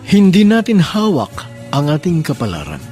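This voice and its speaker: A man's voice: on the high side, huge pitch swings, moderate pace, darkish tone, faint music behind. A man hosting and narrating a radio romance drama in an earnest, sentimental storytelling manner.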